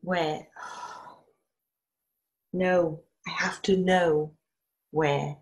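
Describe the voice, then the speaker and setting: Woman playing weary daughter, slow, slightly low voice, hesitant, guarded, strained, radio play. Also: fairly even intonation. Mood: strained, pleading. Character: strained, weary adult daughter confronting her mother.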